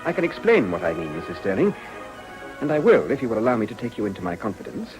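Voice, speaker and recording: An actor playing a suave upper-class English political gentleman, speaking formally and with insinuation in a period drama. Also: fairly low pitch, huge pitch swings, fast talker, soft background music, rough recording. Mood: grave, confiding. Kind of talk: British period drama acting.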